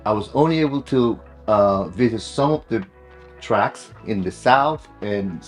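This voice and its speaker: Low-voiced middle-aged Korean-accented man. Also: lively intonation, soft background music. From South Korea. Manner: halting, repeats "you know". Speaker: client visiting a workshop. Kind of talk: casual conversation.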